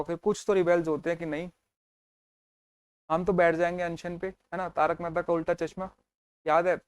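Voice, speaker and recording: A man's voice: on the high side, clear, resonant tone, no echo. A man teaching an exam revision lesson, telling the history as a story and checking in with his students as he goes. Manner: storytelling, mixes Hindi and English, checks in with students.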